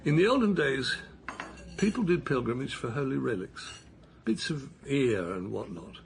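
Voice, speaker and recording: An actor playing an older husband on a pilgrimage walk, speaking in a gentle, musing, wondering way. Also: male voice, medium pitch, lively intonation, moderate pace, gravelly, a little hiss or hum. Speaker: man on a long walk, husband. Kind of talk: radio drama acting.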